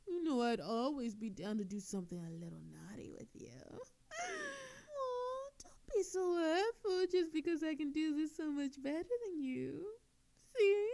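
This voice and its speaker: A woman's voice: a little high, vocal fry, a little mellow, heavily acted. A woman playing a smug, flirtatious thief in an audio roleplay, teasing and mocking a detective in a playful, theatrical, coy manner.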